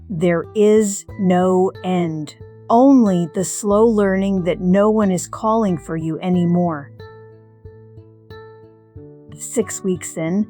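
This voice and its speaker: A woman playing a middle-aged retired firefighter, narrating a scripted first-person story in a measured, reflective, wry way. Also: on the low side, mellow.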